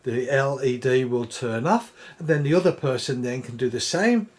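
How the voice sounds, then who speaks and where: A man's voice: mid-range voice, expressive, moderate pace. A man giving a live how-to demonstration, explaining in a plain, patient way. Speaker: product reviewer.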